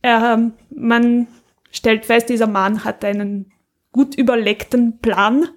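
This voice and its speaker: High-voiced woman chatting casually. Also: fairly even intonation, slow pace. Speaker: podcast co-host. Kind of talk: casual chat, recommending audio dramas.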